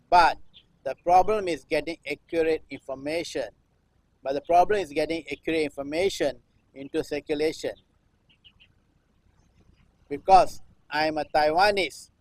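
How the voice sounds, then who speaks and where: A man delivers a recitation as performance art. His voice sits a little high for a man and is captured dry, with almost no room sound. He speaks in deliberate phrases and returns to refrains like a chant. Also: no echo, rough recording. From Singapore. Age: 60s. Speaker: performance artist. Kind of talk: live performance recitation of a political text.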